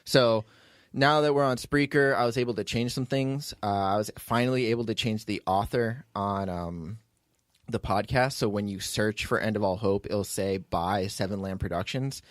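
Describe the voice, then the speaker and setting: A man's voice: on the low side, normal speed, dry recording, clean studio sound. A man hosting a podcast, chatting casually and explaining things in a rambling way as he plugs shows. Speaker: podcast host and producer.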